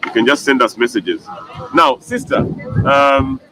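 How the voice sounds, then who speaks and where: A man's voice: medium pitch, a little slow, vocal fry, rough recording. A man interviewing a new sister outdoors for a live stream, talking with many fillers and restarts. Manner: hesitant, filler-heavy, animated. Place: outdoors at a celebration.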